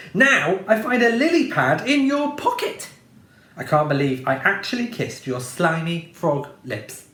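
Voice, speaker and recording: A man's voice: high voice, fairly bright tone, roomy sound. A man doing a nagging, fed-up princess. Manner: nagging, complaining.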